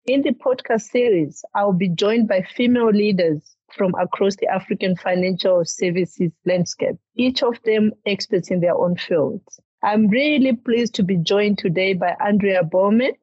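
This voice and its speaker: A woman hosting a podcast interview, reading prepared questions at a measured pace. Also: medium pitch, a little mellow, slightly rough, dry recording. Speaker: podcast host. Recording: dull, lo-fi dry sound.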